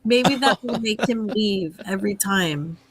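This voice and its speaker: A woman's voice: mid-range voice, unhurried, husky. A woman hosting a casual livestream chat while fighting a head cold, rambling and trailing off mid-sentence. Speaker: livestream host.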